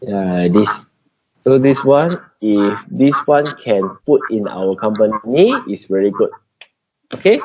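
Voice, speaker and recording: A man with a Malaysian accent giving an update in an informal work meeting on a video call, in halting non-native English. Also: fairly low pitch, animated, a little mellow, husky, no room sound. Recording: dull, noisy video call with clicks.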